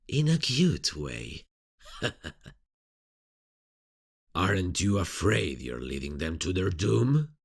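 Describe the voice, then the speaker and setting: A sadistic villain for an audio roleplay, very slow and husky. The pitch swoops playfully as the killer mocks and teases, savoring every threat. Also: male voice, very animated, heavily acted. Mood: mocking amusement. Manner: mocking, teasing, drawn-out delivery with sinister chuckles. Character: sadistic, playful serial killer taunting a detective. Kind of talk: ASMR roleplay acting.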